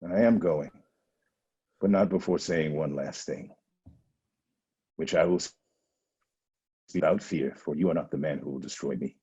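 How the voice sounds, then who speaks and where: An actor playing a stern, foreboding blind old prophet in a dramatic reading over a video call, with a low, husky, deliberate delivery. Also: male voice, dark tone, exaggerated voice. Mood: grim foreboding. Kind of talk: Zoom dramatic reading of a Greek tragedy. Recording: dull lo-fi video call audio.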